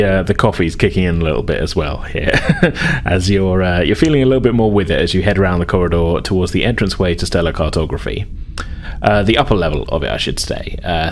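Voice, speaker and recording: Low-pitched man running a tabletop game. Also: slight background noise. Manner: halting, conversational, descriptive. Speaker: game master. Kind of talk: improvised tabletop game narration and chat.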